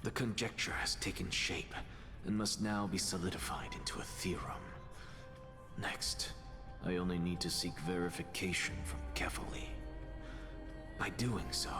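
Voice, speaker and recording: Deep, breathy scholar, slow and cerebral. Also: male voice. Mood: intense, resolved. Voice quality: low, breathy, whispery. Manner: deliberate, theatrical, musing. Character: arrogant, cerebral young male scholar seeking truth. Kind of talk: dramatic video game monologue. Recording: music behind the voice.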